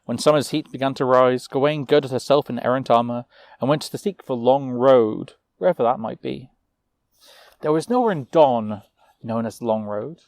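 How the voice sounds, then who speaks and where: A man narrating a fantasy tale as a storyteller, with a measured, theatrical delivery. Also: mid-range voice, a little mellow, no room sound.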